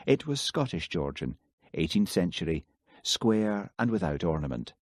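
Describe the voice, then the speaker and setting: Deep voiced guy reading an audiobook, steady and even, dry sound. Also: normal speed, no echo. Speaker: audiobook narrator. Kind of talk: scripted narration.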